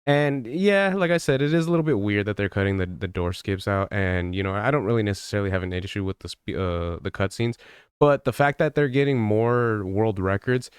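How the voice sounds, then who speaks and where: A man giving casual gaming news commentary in a conversational, rambling way, softening his opinions as he goes. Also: fairly low pitch, a little fast, clean tone, no echo, clean studio sound.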